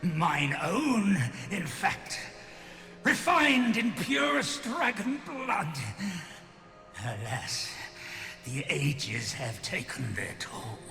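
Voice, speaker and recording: An ancient, withered king of a fantasy game, bitter and grandiose. His voice is gravelly and very slow, with archaic, theatrical phrasing that sinks into breathy whispers. It rings through a long cavernous reverb. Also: high-pitched, sing-song, bright, forceful tone, soft background music, full character voice. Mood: solemn. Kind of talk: fantasy video game acting.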